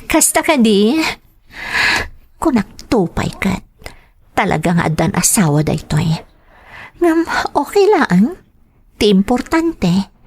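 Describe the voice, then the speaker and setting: A woman's voice: mid-range voice, a little mellow, husky, exaggerated voice. A woman with an Ilocano accent playing Maricel's part, a coy, ambitious young mistress in a radio drama. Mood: coy, probing.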